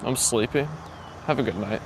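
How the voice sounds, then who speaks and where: A man's voice: medium pitch, moderate pace, gravelly, noisy background. A man hosting a live morning walk stream, chatting casually and rambling with lots of fillers as he answers his chat. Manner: rambling, filler-heavy, reads chat aloud. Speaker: livestream host. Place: outdoors, walking.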